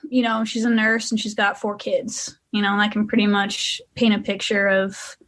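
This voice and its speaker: A woman's voice: medium pitch, moderate pace. A young woman from Western Massachusetts, a musician guest chatting candidly and casually in an interview. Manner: relaxed, chatty, filler-heavy, candid.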